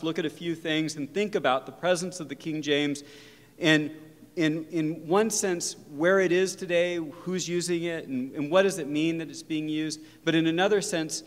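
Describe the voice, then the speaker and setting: A male professor of religion giving an earnest, reflective university lecture that mixes in personal stories. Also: mid-range voice. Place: lecture hall. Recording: long reverb of a lecture hall.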